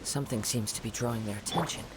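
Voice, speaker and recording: An actor playing a calm, observant young wandering samurai in a video game, speaking in a measured, formal way. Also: male voice, mid-range voice, very noisy, close to an everyday voice. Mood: calm, thoughtful.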